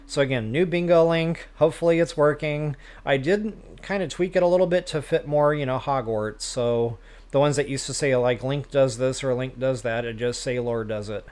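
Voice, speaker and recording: A man's voice: medium pitch, normal speed, darkish tone, clean tone. A man hosting a game livestream, chatting casually with viewers in a self-deprecating way. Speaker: live stream host, gamer.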